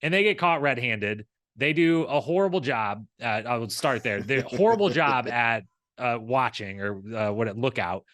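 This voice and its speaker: A man with a mid-pitched voice co-hosts a casual recap show. He talks in a rambling, conversational way and slips into imagined quotes to make his points. The sound is dry, with very little room in it. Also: animated, normal speed, few pauses, fairly bright tone, no room sound. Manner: rambling, acts out imagined dialogue. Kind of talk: casual, conversational episode commentary.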